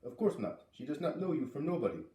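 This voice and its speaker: Male reader as frail, bossy old farm woman; broken grammar, put-on accent, lifted pitch. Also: sing-song, fast, dark tone, roomy sound, distant mic. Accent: foreign, put on. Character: fussy older foreign-accented farm woman, small and frail.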